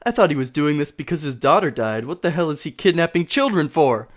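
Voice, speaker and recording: A man's voice: on the high side, big pitch swings, brisk, pitched above the performer's own voice. A man playing a confused, indignant viewer who protests incredulously in a video essay.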